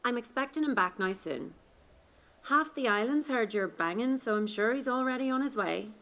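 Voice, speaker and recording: A woman playing a quick-witted, unflappable Irish wife, wry and matter-of-fact, in an audio drama legend. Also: a little high, expressive, bright, forceful tone. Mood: breezy, sly.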